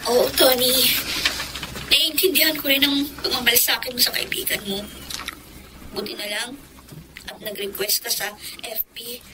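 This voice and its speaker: A woman's voice: on the high side, fairly even intonation, moderate pace, long pauses, background noise, natural voice. A woman playing an emotional, imploring young mother in a Filipino radio drama. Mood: subdued, grateful. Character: pleading, tearful young mother begging for her child.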